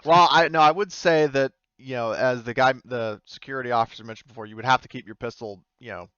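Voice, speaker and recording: A man acting as game master, describing scenes and rules casually in halting phrases. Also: mid-range voice, fairly bright tone, clear, resonant tone, no room sound, highs cut off. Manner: hesitant, halting phrasing with frequent "like" and "you know". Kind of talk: improvised tabletop game narration with casual rules talk.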